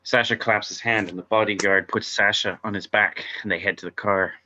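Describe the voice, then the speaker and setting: A man with a very low yet forceful, bright voice narrates a script's scene directions. His delivery is wry and offhand. The recording is a little dull and lo-fi. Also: steady tone, a little fast, a little hiss or hum. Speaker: reader of stage directions. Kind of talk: scripted narration at a table read.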